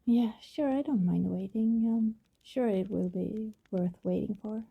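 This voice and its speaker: A woman playing a shy, secretive young vampire neighbor in an ASMR roleplay, speaking softly, hesitantly and apologetically. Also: mid-range voice, unhurried, phone-quality sound, exaggerated voice. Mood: bashful, pleased. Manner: hesitant, soft-spoken, apologetic, breathy.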